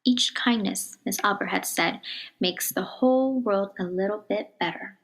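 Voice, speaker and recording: A woman reads a children's picture book aloud in a measured, expressive storytelling manner, dropping to a hush on some phrases. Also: mid-range voice, gravelly, poor audio. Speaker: storybook reader.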